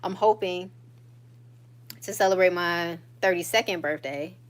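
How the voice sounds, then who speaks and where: Relaxed chatty woman in her thirties. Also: lowish voice. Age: 30s. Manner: relaxed and chatty, drawn-out phrases and frequent laughs. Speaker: vlogger. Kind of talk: casual catch-up chat to viewers.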